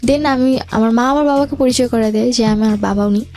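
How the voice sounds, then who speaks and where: A woman's voice: a little high, a little fast, darkish tone. A young woman with a Bangladeshi accent telling her life story in an interview, hesitantly, mixing in English words. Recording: music bed behind the voice. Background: music.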